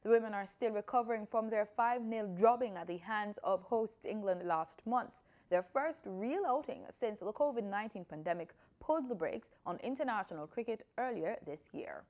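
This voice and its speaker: A woman's voice: medium pitch, lively intonation, normal speed, few pauses, darkish tone. A woman with a Jamaican accent reading formal scripted news as an anchor.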